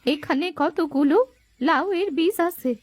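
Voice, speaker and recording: A woman's voice: high voice, measured pace, dry recording. A woman voicing a humble, motherly old beggar woman in a fairy-tale cartoon, talking in a coaxing, affectionate way. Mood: confiding, coaxing. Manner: affectionate, coaxing elder's talk.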